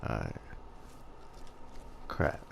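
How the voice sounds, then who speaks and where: A man's voice: very slow, a little hiss or hum. A man giving casual commentary while playing a game. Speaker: gamer narrating own playthrough.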